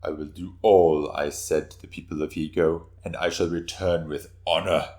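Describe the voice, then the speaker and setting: A man's voice: rumbling low, little pitch movement, moderate pace, a little mellow. A man voicing a proud, defiant samurai warrior with stiff, formal speech in a dramatic reading of historical fiction. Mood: fierce, grim.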